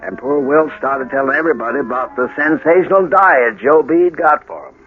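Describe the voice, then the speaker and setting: An American actor playing a folksy, worried small-town deputy sheriff who recounts a tale in a radio drama. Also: male voice, mid-range voice, brisk, lightly acted. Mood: folksy, wry. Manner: folksy, colloquial storytelling. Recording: lo-fi old radio broadcast.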